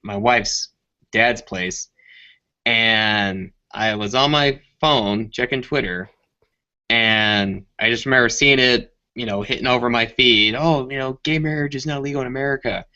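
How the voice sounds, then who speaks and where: A man's voice: mid-range voice, measured pace, bright, forceful tone. A man chatting casually as a participant in a group online call, with dull, lo-fi sound. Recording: dull lo-fi internet call audio.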